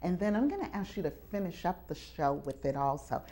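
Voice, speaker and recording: A woman's voice: fairly low pitch, big pitch swings, a little fast, dark tone. A woman hosting a show with children, speaking in an emphatic, teacherly way and coaxing them with questions. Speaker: TV show host leading students. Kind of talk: talk to children before an audience. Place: college venue, taped live with audience.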